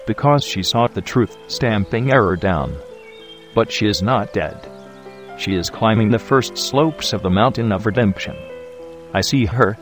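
A gentle, serene teacher , played by a man, who speaks in a calm, solemn way. His voice sits slightly low. Faint music plays behind him. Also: close to an everyday voice. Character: gentle, serene male spiritual teacher Jesus. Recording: music score underneath.

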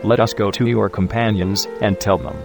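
An actor playing Jesus, speaking calmly and solemnly. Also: male voice, on the low side, moderate pace, vocal fry. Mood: serene. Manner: calm and solemn. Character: gentle, serene male spiritual teacher Jesus. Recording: music score underneath.

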